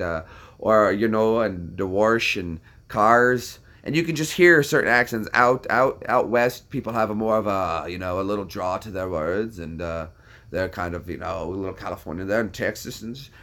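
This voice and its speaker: Rambling low-voiced young American man. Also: fairly bright tone. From United States. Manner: rambling and self-deprecating, with frequent fillers. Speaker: vlogger answering viewer questions. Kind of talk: casual chat.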